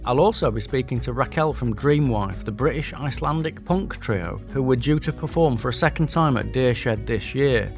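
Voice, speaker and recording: A man with a Yorkshire accent, hosting a podcast and narrating in a friendly, measured way. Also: medium pitch, animated, soft background music. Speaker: podcast host.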